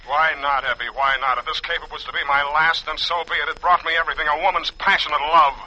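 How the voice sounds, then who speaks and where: An actor playing a wisecracking, swaggering private detective, mock-heroic and florid, in a 1940s radio drama. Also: male voice, a little high, fairly even intonation, quick pace, pushed and bright, dull audio. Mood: grandiose.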